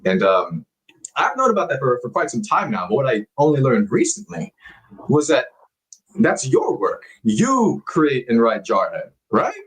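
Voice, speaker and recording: A man's voice: medium pitch, wildly swooping pitch, unhurried, poor audio. A man appearing as a guest actor on a casual talk show, chatty and self-deprecating, laughing at himself as he tells stories. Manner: hesitant, many fillers and restarts. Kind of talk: casual interview chat.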